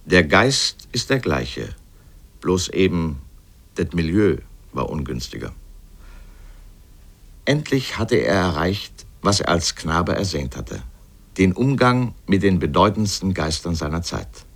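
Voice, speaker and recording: A man playing an erudite, sardonic narrator of a scripted literary radio essay, speaking deliberately and pointedly. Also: low voice, very animated, vocal fry, a bit bright, full character voice. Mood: measured, reflective.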